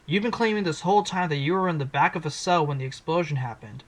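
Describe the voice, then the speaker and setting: Man acting a wry higher-voiced fox attorney. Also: quick pace, fairly bright tone. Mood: confident, challenging. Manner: wry, dramatic courtroom delivery. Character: wry, earnest young fox defense attorney. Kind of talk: fan audio drama acting.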